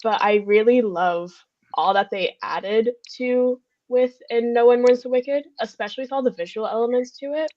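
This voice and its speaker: A woman's voice: fairly high pitch, normal speed, no room sound. A woman chatting casually as a podcast panelist in a group review, on slightly muffled audio. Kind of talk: casual group chat, film and musical review.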